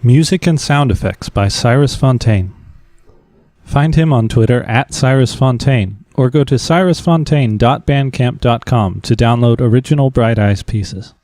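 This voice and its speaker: A man's voice: mid-range voice, a little slow, a little mellow, no echo, studio quality. A man reading scripted end credits as the announcer of a drama podcast.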